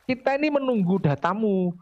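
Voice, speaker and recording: A man's voice: high-pitched, lively intonation, brisk, no room sound. A man in a heated online debate, combative and demanding evidence. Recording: dry lo-fi audio.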